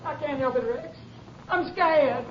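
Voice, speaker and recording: Through a dull, noisy old radio recording comes a terrified moll. Her voice is very high and whimpering, pleading in the manner of 1950s crime drama. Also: measured pace. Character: frightened, pleading young moll of a killer.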